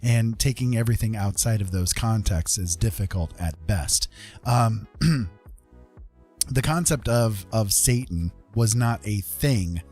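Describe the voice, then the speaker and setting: Low-voiced man explaining in halting phrases. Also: animated, a little mellow, breathy edge, faint music behind. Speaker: art livestream host. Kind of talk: casual livestream chat and explanation.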